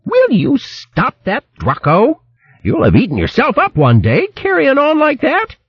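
A man's voice: extremely high pitch, wildly swooping pitch, a little slow, breathy edge, highs cut off, pitched above the performer's own voice, full character voice. A man voicing a fierce, bossy female hedgehog who scolds in rustic country speech.

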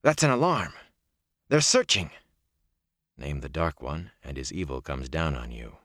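A man's voice: extremely low pitch, normal speed, no echo, studio quality, voice pushed down. A man voicing a troubled, stubborn young village man in a fantasy audiobook, earnest and insistent. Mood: alarmed.

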